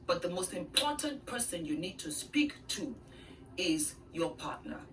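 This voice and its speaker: A woman's voice: fairly low pitch, sing-song, unhurried, fairly bright tone. A woman giving earnest, conversational relationship advice, played in the background of another video. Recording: faint distant voice played in background with noise.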